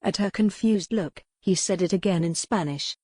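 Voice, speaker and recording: A woman's voice: fairly low pitch, moderate pace, dark tone, dry recording, studio quality. A woman reading a historical romance novel as a scripted, dramatic audiobook narration.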